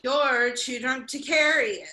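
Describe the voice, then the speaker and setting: Slow, bright, brusque big sister played by a woman. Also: high voice, animated, roomy sound, full character voice. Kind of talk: staged reading of a comic play.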